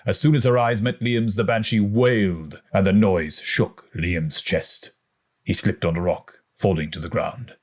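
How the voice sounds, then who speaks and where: A man reading a story aloud as an audiobook narrator, in a dramatic, expressive storytelling manner. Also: on the low side, measured pace. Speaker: audiobook reader.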